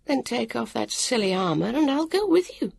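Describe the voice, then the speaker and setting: A woman's voice: fairly high pitch, sing-song, normal speed, vocal fry, a little mellow, breathy edge, voice pushed up, full character voice. A woman voicing a harassed, irritable grown woman who guides travellers, in a brusque, impatient manner. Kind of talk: audiobook character voice.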